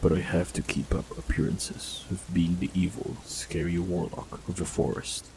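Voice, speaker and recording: A man playing a gravelly ancient forest warlock. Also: low voice, normal speed. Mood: teasing. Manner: dry, teasing humor. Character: ancient immortal male forest warlock, teasing. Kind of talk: audio roleplay acting. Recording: steady rain noise behind voice. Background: rain.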